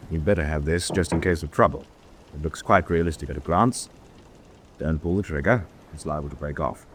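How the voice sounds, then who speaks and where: A low, matter-of-fact officer's voice over faint background noise, acted by a man. He is methodical and instructive, a calm planner in a vintage-style war radio drama. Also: close to an everyday voice. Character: methodical, calm POW officer briefing an escaper. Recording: noisy track with background ambience.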